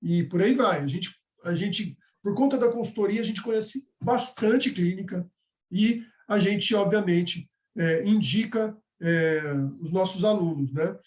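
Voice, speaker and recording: High-voiced man explaining in an echoey room. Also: measured pace, darkish tone. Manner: conversational, explaining step by step with frequent "tá?" checks. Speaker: course instructor. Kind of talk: live online class with course announcements.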